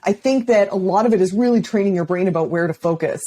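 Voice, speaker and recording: A woman's voice: mid-range voice, fast, dark tone, slight background noise. A woman hosting a podcast, asking questions and affirming her guest in casual conversation. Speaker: podcast host. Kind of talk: casual interview chat.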